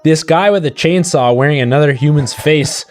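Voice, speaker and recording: A man's voice: on the high side, normal speed, soft background music. A man co-hosting a livestream in casual conversation. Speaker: livestream co-host. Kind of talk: casual chat.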